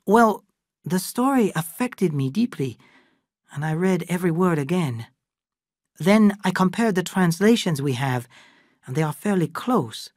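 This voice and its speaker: A woman's voice: on the low side, expressive, a little slow, darkish tone, no room sound, studio quality, voice pushed up. A woman reading aloud, voicing a thoughtful middle-aged male cook who explains things earnestly and carefully.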